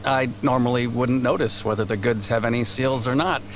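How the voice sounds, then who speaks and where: A man's voice: medium pitch, fairly even intonation, brisk, slight background noise, natural voice. An actor playing a casual male academy gate worker, offhand in tone, heard as game audio. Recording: game audio over loud music.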